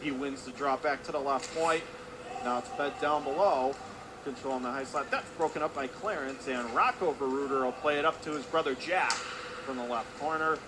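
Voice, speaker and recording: A man's voice: medium pitch, normal speed. A man calling a hockey game live for radio as play-by-play announcer, in clipped running phrases that lift with the action. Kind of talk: live radio sports commentary. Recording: clear background noise of an ice rink.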